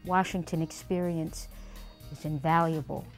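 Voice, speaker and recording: A woman from American Samoa speaks in a deep, low register, taking her time and pausing often. Soft music sits faintly beneath her calm, reflective interview answers. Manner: measured, unhurried, pausing with fillers. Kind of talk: reminiscing interview.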